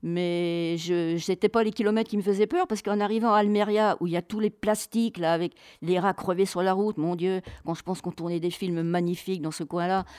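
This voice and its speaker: A woman telling travel stories as an interview guest, chatty, colloquial and expressive, acting out bits of dialogue. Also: lowish voice, brisk, hardly any pauses, clean tone, no echo, studio quality. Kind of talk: animated travel storytelling.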